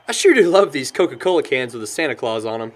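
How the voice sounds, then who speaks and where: A man's voice: mid-range voice, moderate pace. A young man with an American accent playing a sentimental, good-natured guy in an audio drama. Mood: fond, nostalgic. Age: young adult. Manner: chuckles often, animated storyteller. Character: cheerful young man, sentimental but worried about his sister.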